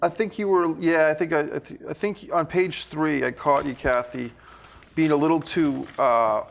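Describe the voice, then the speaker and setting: Male director, fairly high voice with heavy creak, halting as he thinks through casual rehearsal notes. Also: fairly even intonation, a little hiss or hum.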